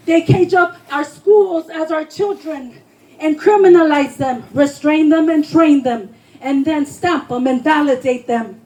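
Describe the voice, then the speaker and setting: A woman's voice: high-pitched, a little mellow, low-quality recording. A woman poet giving an impassioned, emphatic spoken word performance at a live reading, declaiming her lines. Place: live poetry reading before a responsive audience.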